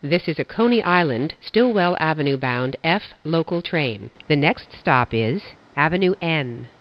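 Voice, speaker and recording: An automated-sounding subway announcer reading stops and transfers in flat, measured phrasing. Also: medium pitch, dry recording. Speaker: train announcer. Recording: dull lo-fi audio.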